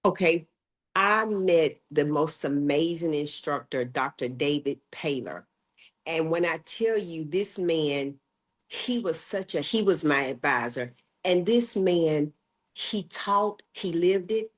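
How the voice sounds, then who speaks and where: A woman's voice: low voice, animated, takes it slowly. An older woman, a health educator, speaking emphatically as an interview guest. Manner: emphatic, acts out quoted voices. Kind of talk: conversational interview.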